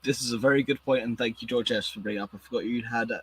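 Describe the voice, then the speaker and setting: A hoarse man hosting a casual podcast chat, talking excitedly and rambling with many restarts. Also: mid-range voice, rapid-fire, rough recording. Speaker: podcast host.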